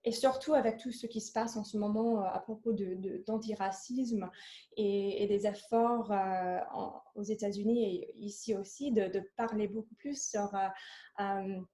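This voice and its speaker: A woman's voice: mid-range voice, steady tone, unhurried, warm tone. A woman who is not a native French speaker, presenting her organization on a video call, hesitant, with many fillers and slips into English. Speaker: program lead at a coworking organization. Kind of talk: online panel presentation.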